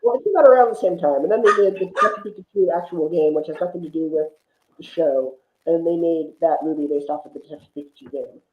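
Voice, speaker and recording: A man's voice: on the high side, fast, slight background noise. Man, muffled echoey recording, casual film chat, talkative guest reviewer, explanatory with asides.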